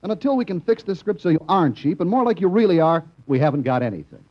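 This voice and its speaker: A man's voice: a little high, animated, fast. An actor playing an exasperated male script producer who stammers and keeps getting cut off, in 1950s radio comedy. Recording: dull lo-fi broadcast with audience.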